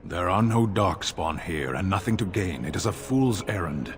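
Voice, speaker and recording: An actor playing a grim, stoic warrior in a game, speaking bluntly. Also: male voice, low-pitched, expressive, moderate pace, faint music behind. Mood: dismissive.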